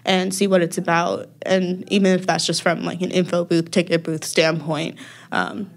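A young woman talks as a podcast guest. Her voice sits a bit low, and she chats casually, with frequent ums and easy laughs. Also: level tone, moderate pace. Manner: chatty, many ums, laughs often. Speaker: podcast guest, music festival worker. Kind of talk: casual interview chat.

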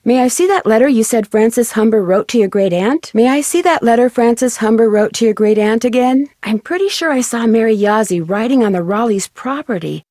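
A youthful, fairly high female voice for a polite, inquisitive amateur sleuth in an adventure game. She is friendly and questioning, enunciating clearly. The sound is dry and close. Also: a little mellow, dry recording. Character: inquisitive, polite young woman amateur detective. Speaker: video game voice actor. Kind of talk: scripted video game dialogue.

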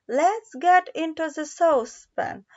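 Woman as eager, high-pitched storybook vegetables. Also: slow. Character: eager talking vegetables planning soup together. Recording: dull lo-fi recording.

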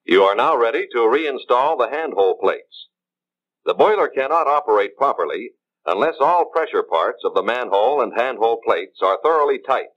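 A man's voice: medium pitch, animated. Dull-sounding 1950s American film narrator, male. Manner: measured, formal, instructional.

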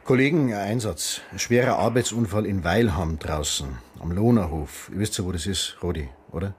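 An actor playing a sober police colleague relaying dispatches in a radio drama, with a Bavarian accent. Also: male voice, low voice, moderate pace, a little hiss or hum, close to an everyday voice. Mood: sober, low-key. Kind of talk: naturalistic radio drama acting.

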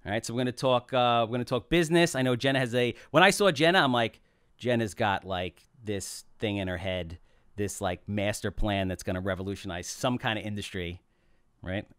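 A middle-aged man hosts a podcast in a small office, joking and talking with big gestures. His voice is smooth and resonant. The sound is dry and close on a boom mic. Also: brisk, no room sound. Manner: animated, joking, gestures broadly while talking. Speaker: podcast host. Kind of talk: casual podcast chat.

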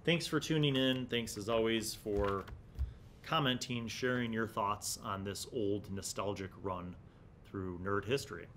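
An American man in his forties hosting a retro gaming review with relaxed, nostalgic, casual commentary. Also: a little slow. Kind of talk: casual commentary while leafing through an old magazine. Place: small indoor studio room. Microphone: large desk condenser microphone. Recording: clear low background rumble and noise.

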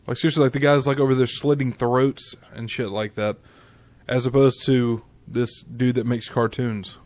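A man's voice: mid-range voice, fairly even intonation, normal speed, darkish tone, no echo. A man co-hosting a podcast with casual, profane banter. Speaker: podcast co-host.

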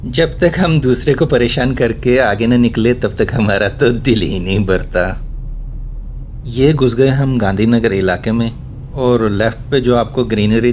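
A man narrates a drive through his city from behind the wheel, chatty and dryly amused at other drivers. His voice sits at an ordinary middle pitch. Faint road and engine noise hums under the talk inside the car. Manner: chatty, wry remarks about traffic manners. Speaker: vlogger giving a city driving tour. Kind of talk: casual running commentary while driving.

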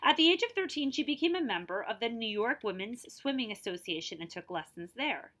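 A woman's voice: on the high side, expressive, a little fast, a bit bright. A woman around her forties reading a children's picture book aloud expressively, stretching key words and adding explanatory asides. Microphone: device camera at about arm's length.

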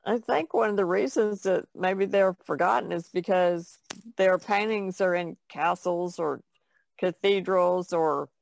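A woman chats casually as a podcast co-host, her voice full of creak. She sounds tentative, and the recording is very dry. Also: fairly low pitch, no echo. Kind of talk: casual conversation.

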